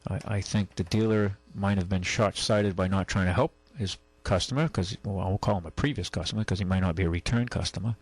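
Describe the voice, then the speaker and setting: A man hosting a call-in radio show, giving casual advice in a halting, rambling way. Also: low voice, steady tone, slightly rough, no room sound. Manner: halting, rambling, conversational explanations. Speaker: radio call-in show host, car dealer.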